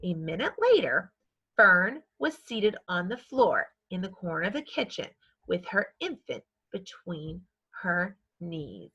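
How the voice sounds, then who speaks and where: A woman narrates a children's storybook at a measured reading gait. Her voice is very bright with a husky, breathy grain. The recording is slightly dull. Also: mid-range voice. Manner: measured, acts out dialogue. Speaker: storybook reader.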